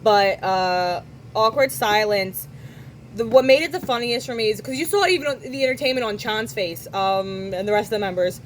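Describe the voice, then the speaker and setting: A young woman hosts a podcast in an easy, casual chat. Her voice is hoarse and worn from singing at a concert, and her pitch sits in a middle range. She keeps tossing in quick asides and corrects herself mid-thought. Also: a little hiss or hum, rough recording. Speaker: podcast host.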